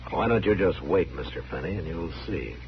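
Very deep-voiced, stern frontier marshal. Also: male voice, expressive. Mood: resolute. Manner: terse, measured, firm. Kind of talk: old-time radio western drama acting. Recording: noisy, muffled old radio broadcast.

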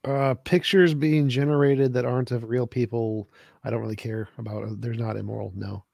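Blunt dismissive guy, gravelly husky voice, flat delivery. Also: medium pitch, moderate pace, dry recording, studio quality. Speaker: debater.

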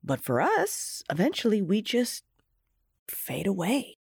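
A folksy, wise imaginary friend, with a rough, husky voice. The pitch swoops dramatically while the manner stays patient and gentle, in fairy-tale drama style. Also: female voice, wildly swooping pitch, slow pace, no room sound, clean studio sound, full character voice. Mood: sorrowful. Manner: patient, explanatory, theatrical.

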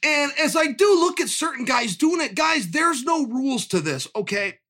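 A man's voice: extremely high pitch, lively intonation, moderate pace, pushed and bright. A man, an MMA fighter, delivering a fired-up commentary rant that is rousing and full of pointed rhetorical questions.

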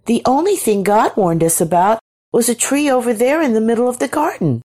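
A woman as an innocent, high-voiced young woman. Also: lively intonation, a little fast. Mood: cheerful. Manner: light, naive, bright-eyed. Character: cheerful, innocent, trusting young first woman.

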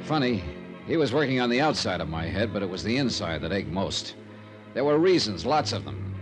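An actor playing a wry, tough insurance investigator with an American accent, clipped and hard-boiled, in 1950s radio detective style. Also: male voice, on the low side, big pitch swings, brisk, music in the background. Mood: dry, urgent.

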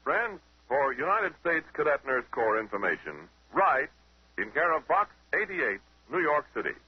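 A male announcer speaks earnestly and formally in 1940s radio style. His slightly high, husky voice is heard close and dry on a muffled old broadcast. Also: sing-song, normal speed, dry recording.

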